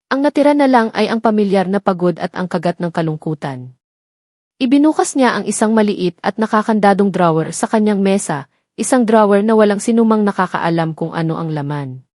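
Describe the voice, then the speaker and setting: A woman's voice: medium pitch, darkish tone, no room sound, clean studio sound. A woman with a Filipino accent reading a scripted love drama as a storyteller. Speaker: narrator.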